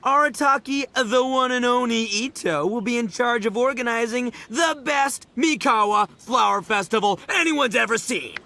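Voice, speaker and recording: Boastful young gang leader, high forceful male voice, swaggering, slangy, theatrical, good-hearted, anime game. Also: moderate pace, creaky, hardly any pauses, full character voice. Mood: boastful, excited.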